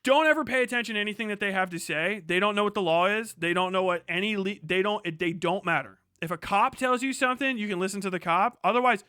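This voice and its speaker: A man with a fairly high, very bright and forceful voice, recorded dry and close. He talks like a live streamer going over the news, casual and opinionated, with blunt, emphatic delivery and plenty of swearing. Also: fast, hardly any pauses, clear, resonant tone, dry recording. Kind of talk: casual opinionated livestream commentary, reading news aloud.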